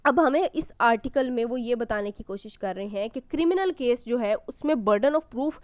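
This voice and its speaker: A woman's voice: fairly high pitch, expressive, moderate pace, darkish tone, dry recording. A woman giving an explanatory law lecture, reading out provisions and explaining them didactically with rhetorical questions. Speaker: law teacher.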